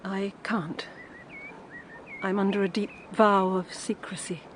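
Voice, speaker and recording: A woman's voice: medium pitch, level tone, slow pace, slight background noise, natural voice. An actress playing an anxious, guarded middle-aged woman, hesitant and pleading, in a period audio drama. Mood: sorrowful, secretive. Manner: hesitant, pleading, formally polite. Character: anxious, guarded older female guardian keeping a sorrowful secret.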